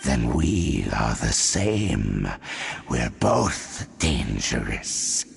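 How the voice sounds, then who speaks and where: A man's voice: a bass voice, sing-song, extremely slow, vocal fry, breathy edge, exaggerated voice. An actor playing a menacing, scornful monster villain in a video game, taunting his enemy in grim tones. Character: menacing male Abyss Herald, cold sinister monster. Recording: game audio with music behind.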